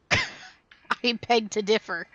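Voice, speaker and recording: A woman's voice: a little high, huge pitch swings, drawn-out words, noisy background. A woman giving casual live commentary as she streams a game, wry, playful and sarcastic. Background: game music.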